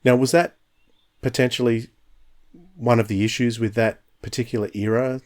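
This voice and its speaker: A man's voice: mid-range voice, fairly even intonation, normal speed, darkish tone. A man in his fifties hosting a podcast, talking in a reflective, enthusiastic way with frequent restarts. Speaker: podcast host. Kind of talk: casual podcast discussion.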